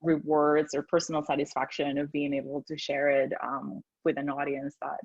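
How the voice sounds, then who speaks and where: Woman, low dull call audio. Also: completely flat delivery, normal speed, husky, no room sound. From Peru. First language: Spanish. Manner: thoughtful, many fillers. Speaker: design speaker. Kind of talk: casual panel chat. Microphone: video call.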